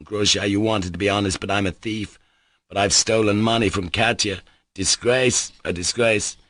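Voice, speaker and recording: A man's voice: lowish voice, steady tone, normal speed, a bit bright, no echo, clean studio sound. A man voicing a drunken young man who speaks fervently and with swagger. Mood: ashamed.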